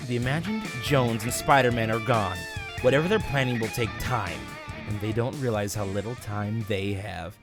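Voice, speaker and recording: A man puts on a brooding, menacing comic-book commander, much lower than his own voice. He is grave and calculating, over faint music. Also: close to an everyday voice.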